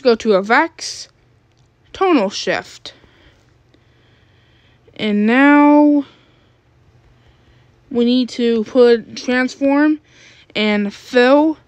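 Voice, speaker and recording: A woman with a fairly high voice narrates a step-by-step screen tutorial. She goes slowly and haltingly, and the sound is dry and close. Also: no room sound. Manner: halting, searching for words. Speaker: tutorial creator.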